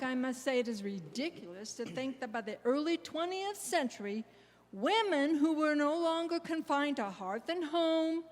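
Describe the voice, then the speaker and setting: A woman playing a resolute, militant American suffragist, delivering an earnest, emphatic readers theater monologue. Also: high-pitched. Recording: reverberant hall, long echo.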